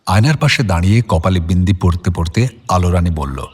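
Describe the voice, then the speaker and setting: Low-voiced male story narrator. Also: animated, fairly quick, a little mellow, breathy edge. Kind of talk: scripted audio-story narration.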